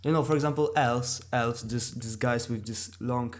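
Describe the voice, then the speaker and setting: A man with a non-native English accent co-hosting a casual podcast conversation, speaking haltingly as he searches for words. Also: mid-range voice, steady tone. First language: not English. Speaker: podcast co-host.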